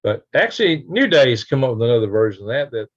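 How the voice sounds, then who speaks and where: An older man with a raspy voice chatting casually and folksily as he hosts a live stream and reads out comments. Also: medium pitch, sing-song, quick pace. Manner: folksy, rambling, chuckling country talk. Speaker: livestream host. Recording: dull lo-fi livestream audio.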